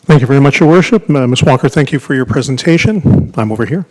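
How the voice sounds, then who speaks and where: A man with a Canadian accent, a town councillor asking a question at a council meeting, formal and polite with halting restarts. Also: mid-range voice, lively intonation, darkish tone. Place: council chamber.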